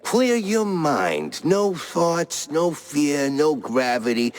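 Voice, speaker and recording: Cartoon game guru: eccentric streetwise stunt mouse, faux-serene, highish wildly swooping voice, long reverb. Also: male voice, sing-song, takes it slowly. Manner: faux-serene sage slipping into streetwise slang. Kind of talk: cartoon noir game voice acting. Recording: long echo, cavernous reverb.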